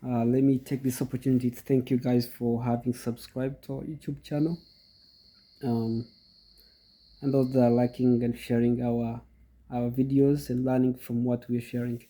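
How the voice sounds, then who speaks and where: A man's voice: medium pitch, level tone, moderate pace, mellow, rough recording. A middle-aged goat farmer with a cold, hosting his channel and explaining step by step in a chatty way to his viewers, often repeating himself. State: congested from a cold. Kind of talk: casual instructional talk to viewers.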